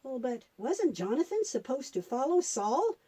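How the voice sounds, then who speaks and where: Woman as a young boy puppet, high-pitched, mellow, inquisitive, eager, children's Bible story dialogue. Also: big pitch swings, moderate pace, slightly rough, exaggerated voice. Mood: curious. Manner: inquisitive, short questioning interjections.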